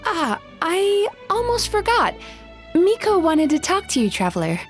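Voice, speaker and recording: A woman voicing a kindly, polite, sociable young woman in a video game, with expressive delivery. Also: very high-pitched, a little slow, faint music behind, exaggerated voice. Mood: cheerful, casual.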